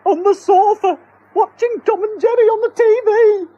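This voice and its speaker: A man's voice: normal speed, dark tone, highs cut off. A man voicing a panicky, bossy middle-aged wife who screeches and scolds. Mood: panicked. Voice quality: shrill, screeching. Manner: bossy, exasperated.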